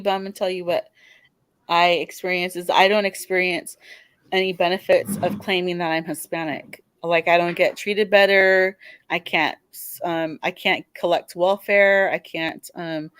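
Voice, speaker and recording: A woman's voice: lowish voice, steady tone, moderate pace, fairly bright tone, dry recording. A woman with a Californian accent, calling in to a live debate show, talking hesitantly with many restarts and fillers.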